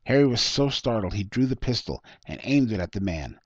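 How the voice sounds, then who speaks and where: A man's voice: lowish voice, lively intonation, brisk, no echo. A man reading his own short story aloud as scripted narration. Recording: dull lo-fi close recording.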